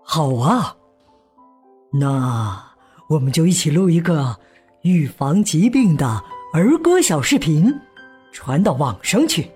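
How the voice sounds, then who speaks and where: An actor playing a gentle father who patiently explains things to his child in simple words, in a children's radio drama. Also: on the high side, breathy edge, full character voice. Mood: encouraging. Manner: slow, simple explaining with soft sentence particles.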